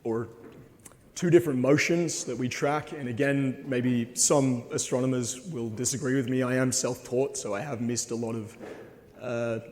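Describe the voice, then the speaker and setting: A man's voice: medium pitch, fairly even intonation, moderate pace, darkish tone. Hesitant man in a reverberant hall. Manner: hesitant, frequent ums, earnest. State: slightly hoarse. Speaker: conference speaker. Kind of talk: presentation talk with slides. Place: conference hall.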